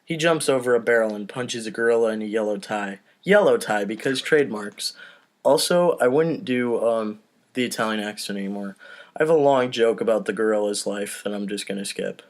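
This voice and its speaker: A man's voice: on the low side, a little fast. A man narrating script directions on a comedy podcast, slipping into casual asides. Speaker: podcast host reading stage directions.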